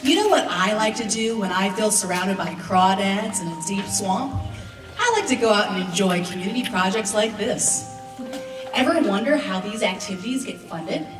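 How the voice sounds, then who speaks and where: A woman's voice: medium pitch, expressive, moderate pace. A woman presenting a live amateur radio play and thanking its sponsors. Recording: echoey room, distant mic, music behind.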